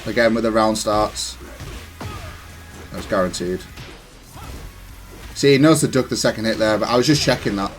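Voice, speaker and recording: Casting: male gamer, lower-pitched voice, casual analytical play-by-play, explains and corrects himself as he goes, music behind. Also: moderate pace, pauses often. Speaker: gamer reviewing own match. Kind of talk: casual gameplay commentary, analytical.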